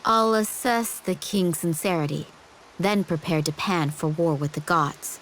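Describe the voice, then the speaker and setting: An actress playing a stern, resolute female warrior spirit in a video game, measured and commanding. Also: on the low side, a bit bright, a little hiss or hum, low-quality recording. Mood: cool, resolute. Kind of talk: English video game dub acting.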